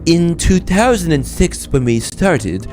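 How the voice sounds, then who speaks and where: Guy doing a pompous dramatic reading, kinda slow, very animated pitch, music playing behind. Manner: theatrical, pompous. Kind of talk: dramatic reading of a written statement. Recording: played-back video with loud music.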